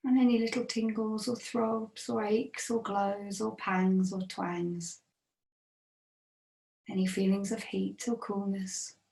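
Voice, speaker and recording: A woman meditation teacher leading a guided body scan in a gentle, unhurried manner with long pauses. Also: mid-range voice, flat delivery, vocal fry. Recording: roomy, echoing sound.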